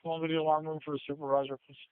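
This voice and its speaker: A man's voice: a little high, flat delivery, racing, clean tone. A man working as a county dispatcher, handling emergency radio traffic in a procedural, matter-of-fact way. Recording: narrowband phone-like emergency radio.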